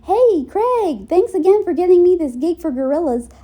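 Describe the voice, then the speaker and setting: A woman's voice: very high voice, sing-song, moderate pace, mellow, pitched above the performer's own voice. A woman voicing a brash, cocky male cartoon gang leader.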